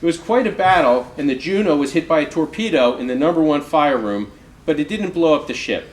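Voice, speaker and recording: Echoey, higher-pitched man reading aloud. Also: lively intonation, brisk. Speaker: author reading from their book. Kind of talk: reading an oral history aloud. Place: live reading in a roomy indoor space. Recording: roomy, echoing live recording with noise.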